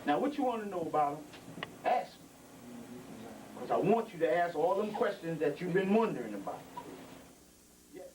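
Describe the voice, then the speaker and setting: Middle-aged guy preaching to young folks, kinda high voice, far off mic, noisy hall. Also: very animated, normal speed, long pauses. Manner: preaching, repetitive emphasis, street vernacular. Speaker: elder speaker at a community gathering. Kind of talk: informal address to young members. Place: indoor building. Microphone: handheld microphone. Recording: distant mic with background noise.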